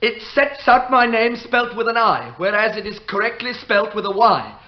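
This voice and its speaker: A haunted prisoner facing condemnation in a radio play. His voice is extremely high for a man and strained with desperation. The old recording is dull and echoey. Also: big pitch swings, moderate pace. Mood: defensive. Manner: pleading, strained, formal archaic diction. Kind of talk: period radio courtroom drama acting.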